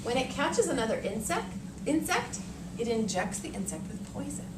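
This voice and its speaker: Expressive American woman teacher, echoey noisy classroom. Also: high voice. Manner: deliberate, expressive, thinks aloud in simple words. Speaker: elementary school teacher. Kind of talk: interactive read-aloud to young children. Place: elementary classroom, indoors. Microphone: far camera. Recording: noisy echoey classroom, distant camera mic.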